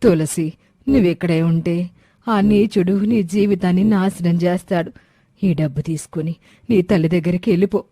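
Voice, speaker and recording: A woman's voice: lowish voice, normal speed, warm tone, no echo, studio quality. A woman voicing a solemn, protective foster mother with an urgent manner in a moral story. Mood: grave, urgent. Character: grave, protective foster mother revealing the truth. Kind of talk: Telugu moral story character acting.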